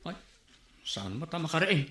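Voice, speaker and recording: An actor playing an eager village aide who reports to his chief in lively bursts. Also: on the high side, moderate pace, echoey room. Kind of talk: Ilocano radio comedy drama acting.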